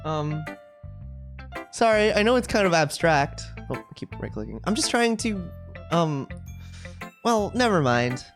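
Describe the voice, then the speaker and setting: Animated game streamer reading aloud. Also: mid-range voice, unhurried, pauses often. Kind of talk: casual live game commentary, reading text aloud. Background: music.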